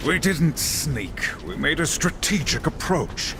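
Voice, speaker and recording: A man's voice: a little high, big pitch swings, takes it slowly, music in the background, full character voice. An actor playing a grim, dutiful warrior horseman in a video game, terse and formal. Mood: stern. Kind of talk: video game cutscene acting.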